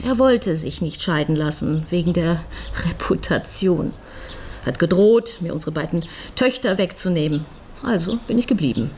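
An actress playing a middle-aged, newly widowed lawyer's wife in a German radio crime drama, frank, dry and impatient. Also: female voice, on the low side, lively intonation, moderate pace, darkish tone, a little hiss or hum, close to an everyday voice. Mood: sober, frank.

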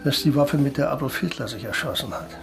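A man's voice: mid-range voice, fast talker, gravelly, natural voice. Actor playing male investigator, radio crime drama, sober, precise, matter-of-fact explaining, faint music.

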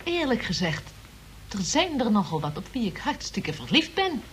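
A woman's voice: on the high side, big pitch swings, normal speed, breathy edge, heavily acted. An actress in a Dutch radio play playing a frank, flighty young woman with a blunt, matter-of-fact manner. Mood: breezy candour.

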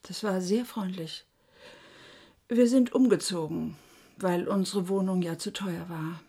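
An actress playing a dutiful middle-aged wife and mother in a radio drama, her voice husky and breathy. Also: fairly low pitch, unhurried, mellow. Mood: subdued, dutiful. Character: dutiful, struggling wife and mother reporting family news.

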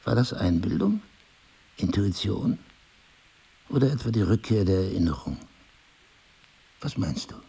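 Casting: sardonic, suspicious amnesiac husband for audio drama, gravelly, mellow-dark delivery, articulate and probing. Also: male voice, lowish voice, animated, measured pace, long pauses, full character voice. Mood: pensive, uneasy. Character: brooding amnesiac husband, a sharp-witted, suspicious crime writer. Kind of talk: intimate audio drama dialogue.

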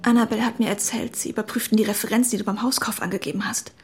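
An actress playing an insistent, quietly manipulative woman in a radio play, soft-spoken but pointed. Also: a little high, fairly even intonation, racing, husky, faint music behind. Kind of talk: German radio play acting.

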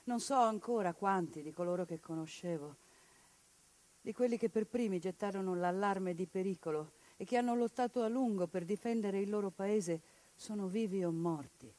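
A woman performing a one-woman theatre monologue, speaking with passion and swinging between hushed lines and forceful ones. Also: mid-range voice, normal speed.

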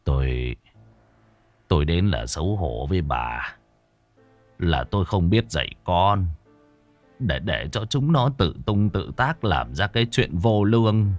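A man voicing an old village widower who speaks sadly and haltingly, ashamed of his children. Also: low voice, animated, dry recording, soft background music. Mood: mournful. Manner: mournful, halting, stammering.

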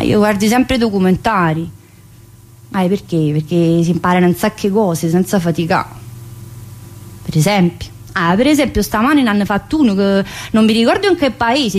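A talkative, sardonic woman prisoner from the working class. She talks in a rather high voice and keeps chatting with her listeners, teasing them and dropping bitter jokes. This is a one-woman theatre monologue played on the radio. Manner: chatty, teasing, swings between joking and bitterness.

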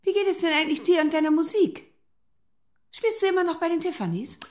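A woman's voice: very high-pitched, lively intonation, brisk. A woman playing a querulous, nagging old mother in a radio drama, blunt and easily indignant.

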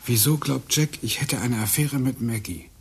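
An actor playing an anxious, brooding, paranoid husband in a radio play, speaking tersely. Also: male voice, medium pitch, normal speed, slightly rough. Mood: paranoid, tense. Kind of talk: naturalistic German radio play dialogue.

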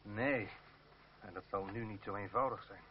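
An actor playing a cautious, loyal political companion, placating and wry, in a Dutch radio play. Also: male voice, lowish voice, normal speed, a little hiss or hum, close to an everyday voice. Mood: concerned. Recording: muffled old radio play recording.

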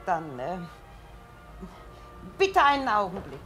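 A woman's voice: medium pitch, wildly swooping pitch, loud music behind the voice. An actress playing a tenant who is caught before she has dressed and tries to put off a visitor in a radio drama. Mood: flustered. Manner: hurried, evasive. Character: flustered married woman tenant, not yet dressed. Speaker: tenant answering the door.

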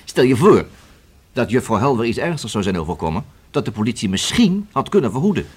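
An actor playing a dry, deliberate, skeptical police detective in a Dutch radio play. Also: male voice, medium pitch, big pitch swings, breathy edge. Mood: stern, probing. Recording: noisy old radio play recording.

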